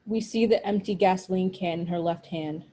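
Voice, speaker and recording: Mellow-voiced woman reading stage directions. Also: lowish voice, normal speed, slight background noise. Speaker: script narrator at a table read.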